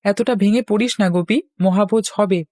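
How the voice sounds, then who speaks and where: A man's voice: sits high, fairly even intonation, brisk, a little mellow, dry recording, natural voice. A man plays a young village lad from a cartoon, determined and full of schemes. The voice is fairly light for a male, recorded dry and close. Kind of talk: Bengali cartoon voice acting.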